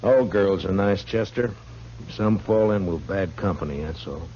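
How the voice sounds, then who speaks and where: A man's voice: low voice, unhurried, dark tone. An American man acting a laconic, probing frontier marshal in a 1950s radio western, with terse, dry delivery. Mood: wry. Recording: dull, noisy old radio recording.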